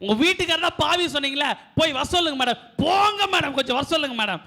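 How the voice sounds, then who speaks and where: A man's voice: very high-pitched, expressive, fast, sharp tone, heavily acted. A man voicing a cheeky parcel delivery man in a live puppet show, teasing and pushy.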